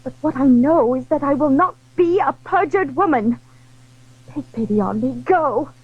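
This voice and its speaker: A woman's voice: high-pitched, expressive, moderate pace, no echo, phone-quality sound. A woman playing an anguished, majestic queen in an audio drama, speaking formally and pleadingly, torn between love and honor. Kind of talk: theatrical audio drama acting.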